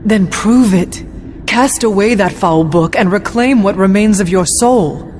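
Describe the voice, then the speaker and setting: A woman's voice: mid-range voice, a little slow, darkish tone, a little hiss or hum, close to an everyday voice. A woman playing a resolute warrior heroine in a video game, speaking in terse, formal, earnest phrases. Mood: defiant. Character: resolute young female monster hunter, defiant warrior. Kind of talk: dramatic video game cutscene acting. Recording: music underneath.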